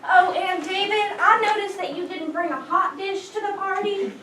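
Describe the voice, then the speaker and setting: A woman's voice: extremely high pitch, fairly even intonation, moderate pace, sharp tone. A woman playing a sweet, scatterbrained neighbourhood hostess in a staged play reading, rambling on with sugary, backhanded politeness. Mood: breezy, rambling. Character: sweet, chatty, scatterbrained neighbourhood lady throwing a party. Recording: distant roomy hall, clear background noise.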